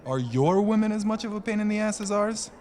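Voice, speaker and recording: A man plays an American high school boy in an audio drama. His voice sits fairly high and jumps around in pitch, with slangy, uneasy, everyday phrasing. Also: animated, darkish tone. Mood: sullen, joking. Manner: halting, casual slang. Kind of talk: audio fiction acting.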